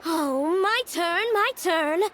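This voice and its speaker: A high, bright-sounding female villager over faint noise. She is eager and curious, a game character keen to ask her question. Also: animated, slow pace, heavily acted. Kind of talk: video game dialogue acting.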